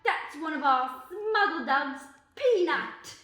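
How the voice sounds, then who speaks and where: Radio-play mum, played by a woman: high voice, roomy sound, gushing falsely, snooping. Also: lively intonation, slow, bright, forceful tone, full character voice. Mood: suspicious. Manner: gushing to neighbour, muttering snidely aside. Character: brisk, nosy mother with sugary false politeness. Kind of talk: improvised radio play acting. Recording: roomy, echoing voice recording.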